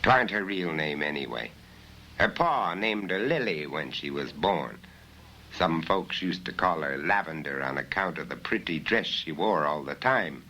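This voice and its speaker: A man's voice: extremely low pitch, expressive, measured pace, sharp tone, full character voice. Man voicing a blunt, rustic old country woman. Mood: blunt, matter-of-fact. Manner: rustic, folksy dialect. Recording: dull, muffled lo-fi recording.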